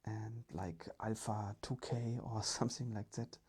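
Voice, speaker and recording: A storyteller speaking in a breathy, whispery ASMR style, with deliberate, gentle delivery. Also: mid-range voice, steady tone.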